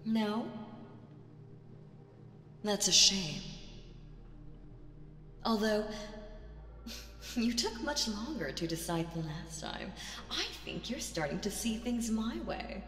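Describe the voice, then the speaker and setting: A woman voicing a seductive, domineering demon queen in a dramatic audio roleplay, speaking theatrically and deliberately with teasing menace. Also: mid-range voice, lively intonation, a bit bright, soft background music. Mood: mock disappointment.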